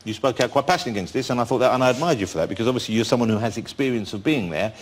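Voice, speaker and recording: A man's voice: mid-range voice, expressive, very fast. A man hosting a chat show in a TV studio, teasing his guest playfully and tripping over his words. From England. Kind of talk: joking late-night chat show interview. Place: TV studio with audience. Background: studio audience laughter.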